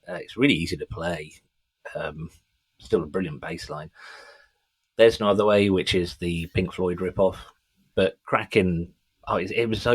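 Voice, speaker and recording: A man's voice: low voice, breathy edge. A middle-aged man co-hosting a music podcast, chatting casually with an enthusiastic, sweary fan's manner. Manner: enthusiastic, sweary fan talk.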